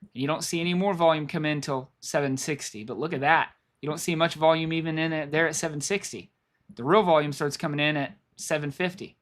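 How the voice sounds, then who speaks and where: Hoarse male streamer with a slightly high voice, casual and rambling, walking viewers through chart levels. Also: steady tone, a little fast, a bit bright. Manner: rambling, repeats himself, thinks aloud. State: losing his voice. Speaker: stock market streamer. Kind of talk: live trading commentary.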